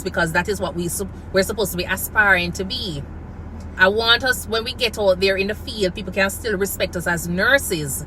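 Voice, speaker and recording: A woman's voice: medium pitch, sharp tone, slight background noise, rough recording. A woman from Jamaica with a Jamaican accent, a nurse giving earnest advice in a casual vlog and slipping into patois phrases. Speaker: nurse and nursing coach. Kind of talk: casual vlog talk to camera.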